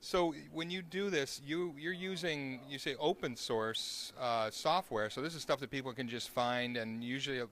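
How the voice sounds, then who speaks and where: A man's voice: medium pitch, moderate pace, hardly any pauses, fairly bright tone. A man works as an on-location interviewer, speaking in a mid-range voice. He puts questions to his guest in a conversational way as the host of the segment.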